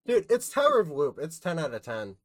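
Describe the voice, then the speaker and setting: A woman talking loosely over a game with friends, cursing and laughing as she goes. Her voice sits a little low but leaps around a lot in pitch. She often restarts her sentences mid-thought. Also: big pitch swings, a little fast. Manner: swearing, laughing, rambling restarts. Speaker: streamer. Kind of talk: casual gaming chat with friends.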